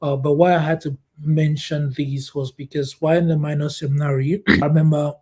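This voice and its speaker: A man in his thirties from Cameroon, a guest telling his life story in a relaxed interview, full of fillers and restarts. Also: on the high side, a little fast. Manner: hesitant, many fillers and restarts, laughs often.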